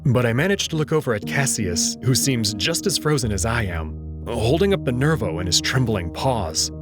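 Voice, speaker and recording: A man's voice: medium pitch, animated, moderate pace. A man reading dramatic first-person narration from a visual novel. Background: music.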